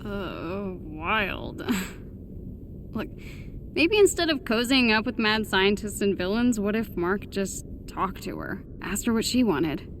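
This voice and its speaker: A woman's voice: mid-range voice, expressive, takes it slowly, noisy background. A woman playing an upbeat young rookie superhero in a video game, with an American accent and an informal, frank, teasing delivery. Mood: frank, encouraging. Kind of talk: naturalistic video game voice acting.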